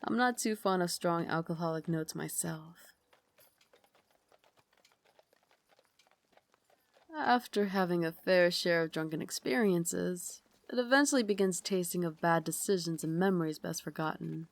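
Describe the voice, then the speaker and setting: Woman playing a soft-spoken, low Victorian vampire lady. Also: normal speed, few pauses, natural voice. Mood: gracious, refined. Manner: refined, formal, soft-spoken, doting. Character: elegant Victorian vampire lady, caring and gently commanding. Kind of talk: ASMR roleplay, slow intimate scripted acting.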